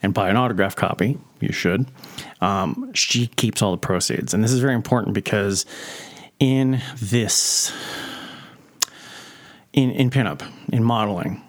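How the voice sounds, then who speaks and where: A man's voice: lowish voice, measured pace, pauses often. A man hosting a podcast interview, chatting casually and rambling with many fillers and restarts. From Pennsylvania. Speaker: podcast host.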